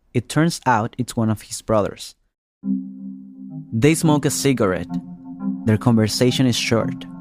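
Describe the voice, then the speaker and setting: A man giving scripted first-person narration as the reporter of a podcast story. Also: mid-range voice. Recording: music bed behind the voice. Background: music.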